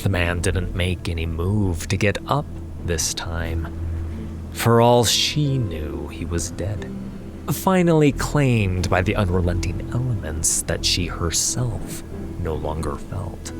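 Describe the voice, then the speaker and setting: A man narrating a fantasy story in a measured, solemn way with weighted pauses, as in a scripted dramatic audiobook. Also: low voice. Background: background music.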